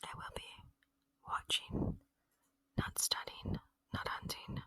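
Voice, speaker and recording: A woman voicing a gentle, lonely female alien in an ASMR roleplay, whispering tenderly and intimately in a breathy voice, close to the microphone. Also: no room sound. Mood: soft, reassuring. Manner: slow, tender, intimate, earnest. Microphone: close microphone, dry.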